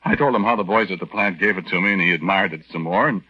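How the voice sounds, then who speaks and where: Flustered, stammering young American private. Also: male voice, deep voice, fast, bright, forceful tone. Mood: confiding, agitated. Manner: hesitant, stammering, folksy mild exclamations. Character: meek, flustered young married army private, earnest everyman. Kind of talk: 1940s radio drama acting, confiding first-person narration. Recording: dull, band-limited 1940s radio recording.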